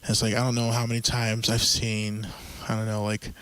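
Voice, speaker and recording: A man hosting a casual group conversation, talking in a halting way with many fillers and easy laughs. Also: on the low side, monotone, a bit bright, slight background noise. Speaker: podcast host.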